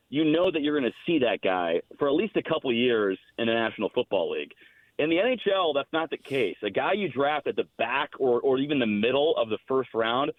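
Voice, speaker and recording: A narrow, dull phone-like line carries an American man with a mid-pitched voice and no room sound. He is a hockey announcer explaining the game as a guest, measured and emphatic. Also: no room sound. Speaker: hockey radio play-by-play announcer, guest analyst. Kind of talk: explanatory sports commentary in an interview.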